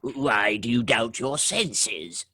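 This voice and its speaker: A man's voice: medium pitch, lively intonation, slow pace, sharp tone, slightly rough, full character voice. An actor playing the wisecracking ghost of an old miser in a comic radio musical, joking, punning and singing his warnings. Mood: solemn. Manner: jokey and pun-loving, breaks into song.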